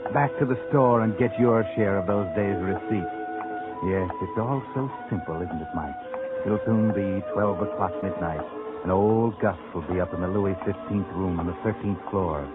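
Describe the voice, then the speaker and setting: An actor playing a sardonic, mocking narrator who needles like a conscience in a 1940s radio suspense show. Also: male voice, fairly low pitch, lightly acted. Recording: narrow-band old radio recording with music.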